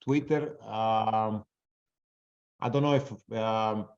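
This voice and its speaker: A man's voice: lowish voice, fairly even intonation, takes it slowly, darkish tone. A man with a non-native accent in English, giving blunt, casual live trading commentary and answering audience questions. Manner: blunt, casual, swears freely. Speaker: trader presenting a webinar. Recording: dull lo-fi webinar audio.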